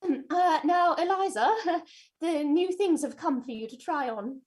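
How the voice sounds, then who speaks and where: A woman playing a flustered, fussy, gently scolding housekeeper in a staged play reading. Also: high voice, a little slow. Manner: hesitant, fussy.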